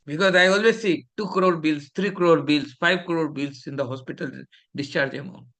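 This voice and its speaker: A man's voice: a little high, moderate pace, fairly bright tone. A middle-aged man from India with an Indian English accent, lecturing a fellowship class in a preachy, scolding way and mixing in Hindi.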